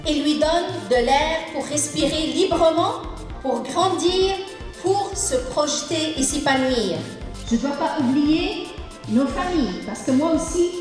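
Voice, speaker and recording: Woman, high voice, slow solemn speech, echoey hall, music. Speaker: speaker at a ceremony. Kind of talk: ceremonial speech.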